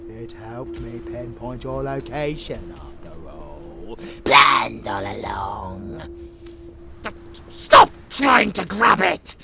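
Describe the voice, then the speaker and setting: A man playing a sadistic, gleeful vampire abomination in an audio roleplay, with a growling, gravelly voice and a theatrical, taunting manner. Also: medium pitch, sharp tone, exaggerated voice. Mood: irritated, snarling. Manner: theatrical, menacing, taunting, drawn-out phrasing. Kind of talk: monster ASMR roleplay acting.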